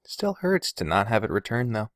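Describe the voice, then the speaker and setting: Male podcaster, very lively pitch swings, mid-pitched voice, casual rambling, sweary, self-deprecating, dry room sound. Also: moderate pace, no echo. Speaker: podcast host and video creator. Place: indoors in a bedroom. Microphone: Blue Snowball omnidirectional USB microphone.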